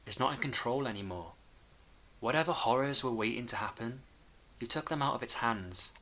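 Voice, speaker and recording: A man playing a guarded, steady time-travelling investigator in an audio drama, speaking in a terse, sober way. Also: mid-range voice, moderate pace. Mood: sober, reassuring.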